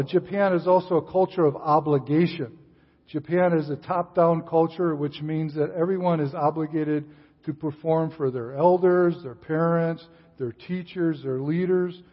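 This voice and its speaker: An older man speaks from a church pulpit, relaxed and conversational, full of "uh" and restarts. His voice sits a little high for a man. The sound is muffled and dull, with a long hall echo. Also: slightly rough. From Chicago area, USA. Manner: relaxed, frequent uh fillers and restarts. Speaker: visiting missionary speaker. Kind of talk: sermon with casual asides. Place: church sanctuary.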